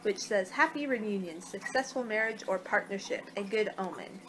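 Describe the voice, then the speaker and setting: A young woman doing an ASMR card-reading role-play with a halting, unhurried delivery. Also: mid-range voice, expressive. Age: young adult. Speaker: ASMR performer in a fortune-teller role-play. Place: small home room, indoors. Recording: noisy amateur webcam-style room recording.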